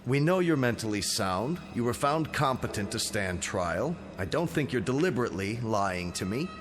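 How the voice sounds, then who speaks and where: An actor playing a stubborn, rational district attorney in a radio drama, arguing in a measured, lawyerly way. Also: male voice, mid-range voice, big pitch swings, over music, natural voice. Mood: determined, exasperated.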